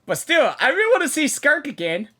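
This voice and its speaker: Excitable high-voiced gaming reactor. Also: male voice, a little fast. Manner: excitable, chatty, says dude a lot. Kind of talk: live game reaction commentary.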